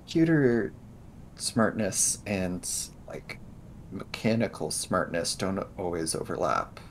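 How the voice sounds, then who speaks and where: A man playing a tabletop role-playing game, thinking things through aloud in casual, halting phrases. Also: low voice, lively intonation, slight background noise. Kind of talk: casual in-character game discussion.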